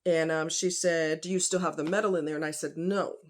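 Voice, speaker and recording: A woman's voice: low-pitched, steady tone, a little fast. A woman vlogger talking casually and directly to her viewers in a folksy, conversational, emphatic way.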